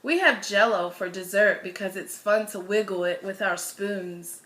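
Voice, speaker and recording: A woman reading a children's picture book aloud as a storyteller, with expressive, deliberate phrasing and stressed words. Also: medium pitch.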